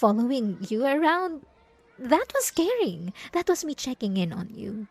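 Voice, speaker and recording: A woman acts out a clingy, gaslighting yandere ex-girlfriend in an intimate audio roleplay. Her voice sits fairly high and swings widely in pitch, lingering slowly over words. She is coy and falsely innocent, sweet on the surface and menacing underneath. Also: big pitch swings, darkish tone, husky, exaggerated voice. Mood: indignant, cloying. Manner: coy, teasing, mock-innocent, drawn-out pet names. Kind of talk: ASMR roleplay acting addressed to the listener.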